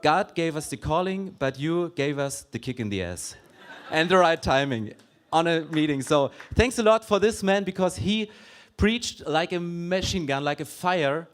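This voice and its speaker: High-voiced man welcoming a church crowd. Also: lively intonation, unhurried. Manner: switches between German and English, apologetic asides. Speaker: pastor. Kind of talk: welcome speech at a church event. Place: church hall.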